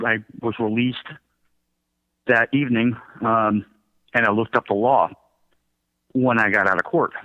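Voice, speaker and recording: A middle-aged man telling his own story as a radio interview guest, in a halting, self-correcting way. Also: mid-range voice. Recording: thin phone-like line.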